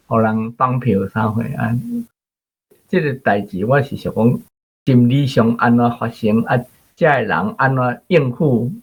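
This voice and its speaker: A man's voice: mid-range voice, breathy edge, poor audio. A man with a Taiwanese accent giving informal spoken commentary as a radio guest.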